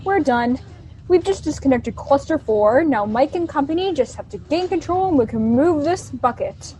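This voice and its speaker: A woman's voice: high-pitched, lively intonation, moderate pace, warm tone. A woman playing a flippant, wisecracking young colonist in a student sci-fi radio play. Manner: flippant, joking.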